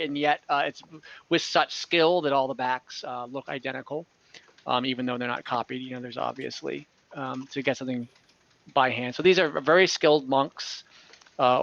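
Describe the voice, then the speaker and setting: Bright-voiced man narrating a game. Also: mid-range voice, creaky, no echo. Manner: halting, with many fillers and restarts. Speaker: game master. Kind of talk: narrating and voicing characters in a tabletop game. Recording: dull, slightly lo-fi online audio.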